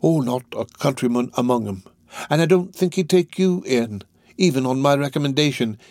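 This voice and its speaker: Man putting on a slow, sly, wheedling old crook. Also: medium pitch, expressive, a little mellow, dry recording, studio quality, pitched above the performer's own voice, lightly acted. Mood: sly, coaxing. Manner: slow, drawn-out, ingratiating. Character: sly, wheedling older male criminal fence.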